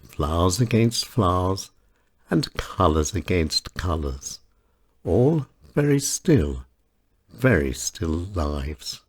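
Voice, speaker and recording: A man reading his own short stories as a scripted narration, in deliberate, emphatic short phrases. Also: low voice, sing-song, slightly rough.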